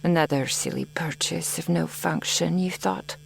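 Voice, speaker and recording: A woman voicing a possessive, adoring dragon in human form for an intimate ASMR roleplay, with regal, old-fashioned phrasing and a breathy, often whispered delivery. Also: low-pitched, a little hiss or hum, rough recording. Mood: mild grumbling. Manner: slow, formal archaic phrasing, regal and doting. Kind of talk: intimate ASMR roleplay, scripted character acting. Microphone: close studio mic, dry.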